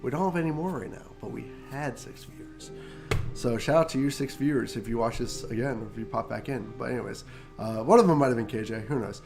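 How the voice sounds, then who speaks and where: A man's voice: medium pitch, brisk, music in the background. A man co-hosting a casual gaming chat, nasal from a cold, joking and rambling. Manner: laid-back, joking, rambling. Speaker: podcast co-host.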